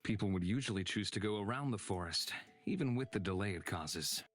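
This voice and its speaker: A man's voice: fairly low pitch, animated, a little fast. A man playing a cool, sardonic and guarded character with a wry, needling manner in a visual novel game. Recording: music behind the voice.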